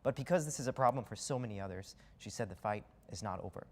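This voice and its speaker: A man's voice: on the low side, fast, a little mellow, a little hiss or hum. A man reporting live from a TV newsroom in a conversational broadcast manner. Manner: conversational, clear broadcast delivery. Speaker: news reporter.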